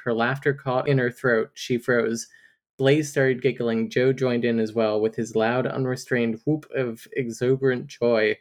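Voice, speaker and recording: A woman co-hosting a casual book podcast, recapping chapters and reading passages aloud, laughing often. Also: extremely low pitch. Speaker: podcast co-host.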